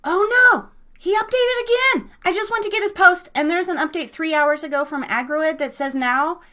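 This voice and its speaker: An excitable, bubbly woman chatting casually and slangily as she hosts a live internet radio show. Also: extremely high pitch, normal speed. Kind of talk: casual rambling livestream chat with an online audience.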